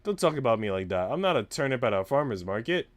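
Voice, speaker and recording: Man reading as a prim, flustered young maid, animated. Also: medium pitch, fast talker, close to an everyday voice. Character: earnest, flustered, sceptical young maidservant woman.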